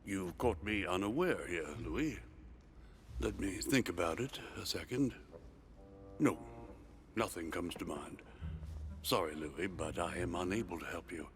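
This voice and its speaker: A man's voice: low voice, unhurried, pauses often, background noise. A man playing a kindly, courteous older statesman in a video game, speaking in a formal, genial manner. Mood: pondering, apologetic. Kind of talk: dramatic game dialogue.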